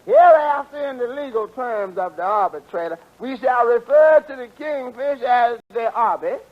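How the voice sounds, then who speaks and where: Pompous crooked judge, super high voice, old muffled radio comedy recording. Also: male voice, animated, moderate pace. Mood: self-important. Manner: pompous mock-legal talk. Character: shifty, self-important male arbitrator, openly biased and greedy. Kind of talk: 1940s radio comedy acting.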